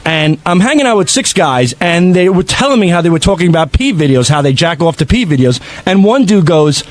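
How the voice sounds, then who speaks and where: Higher-voiced man, stammering through radio banter. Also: lively intonation, brisk. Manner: defensive, stammering, repetitive when arguing. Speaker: radio show regular. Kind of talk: unscripted radio panel banter.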